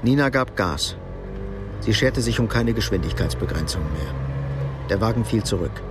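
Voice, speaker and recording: A man's voice: lowish voice, lively intonation, moderate pace, over music. Sober male radio drama narrator.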